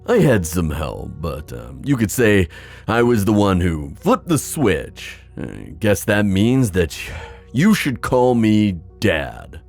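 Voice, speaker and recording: A man playing a proud, protective sea god and father in a fantasy ASMR roleplay, musing haltingly with sighs. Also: deep voice, very animated, gravelly, soft background music, full character voice. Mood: gentle, reassuring.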